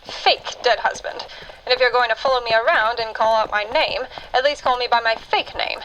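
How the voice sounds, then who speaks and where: A woman playing a brisk, secretive undercover wartime agent in a radio drama. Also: fairly high pitch, a bit bright, a little hiss or hum, natural voice, poor audio. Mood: urgent, scolding. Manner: urgent, scolding.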